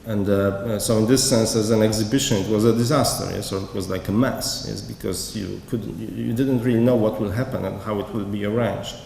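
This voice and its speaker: Curator, male, halting lecture delivery, gravelly low voice, echoey hall with faint noise. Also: fairly even intonation, darkish tone. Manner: halting, hesitant, with many pauses. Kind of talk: conference lecture reading a prepared paper.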